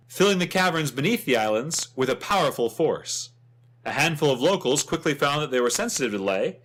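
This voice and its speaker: A man's voice: a little high, fairly bright tone. A man playing a chatty, wryly humorous travel writer, narrating a fantasy guidebook with theatrical expression.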